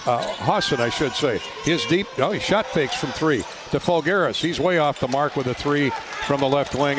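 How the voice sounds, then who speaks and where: An American man doing live play-by-play radio commentary of a basketball game. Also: mid-range voice. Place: indoor basketball arena. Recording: clear arena noise behind, ball bounces. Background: game ambience, bouncing balls.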